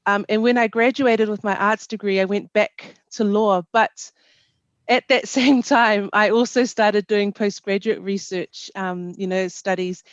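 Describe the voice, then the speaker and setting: A young woman, a panel guest answering thoughtfully, with many pauses and ums, over a headset on a video call. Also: mid-range voice, steady tone, no echo. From New Zealand. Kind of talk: casual interview answers. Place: small indoor room. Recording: dry, dull video call audio.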